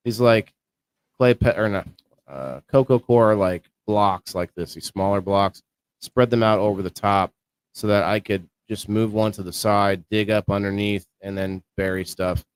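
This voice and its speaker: A man co-hosting a casual livestream about indoor growing, talking in a low-key, fairly monotone way. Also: normal speed, clean tone, no room sound. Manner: low-key, self-described monotone delivery. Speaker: co-host of a live-streamed indoor growing show.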